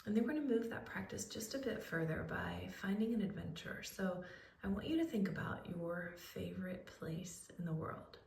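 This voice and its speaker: A woman leading a guided relaxation, speaking in a soothing, unhurried way with pauses as she gives gentle instructions. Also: medium pitch, vocal fry, slight background noise. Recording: roomy echo, distant microphone.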